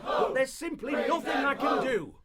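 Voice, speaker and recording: A flustered town official in an audio drama, pleading like an orator. His voice is raised extremely high for a man, in the thick of a roaring crowd. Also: lively intonation, moderate pace, very noisy. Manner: loud, oratorical. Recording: shouting crowd all around.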